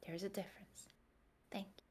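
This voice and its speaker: A woman playing a tabletop roleplaying game online, talking in and out of character, often whispering and then bursting out loudly. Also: normal speed, slight background noise. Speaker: player.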